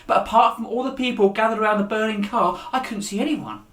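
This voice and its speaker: A man reading a children's story aloud as its author, with a dramatic, expressive delivery that rises and drops to a hush. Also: high-pitched, quick pace. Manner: dramatic, with raised voices for dialogue and hushed endings. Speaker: author reading his own children's book. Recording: echoey room.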